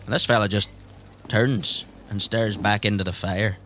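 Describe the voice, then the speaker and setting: A man's voice: fairly low pitch, steady tone, moderate pace, a bit bright, slight background noise. A man narrating a tabletop roleplay game as its game master.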